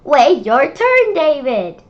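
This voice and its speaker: A young female reader voicing an exasperated, strict schoolteacher in a storybook, loud and commanding with drawn-out words. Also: high-pitched, very animated, muffled recording, pitched above the performer's own voice, full character voice. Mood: exasperated scolding. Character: exasperated, strict schoolteacher scolding a naughty boy.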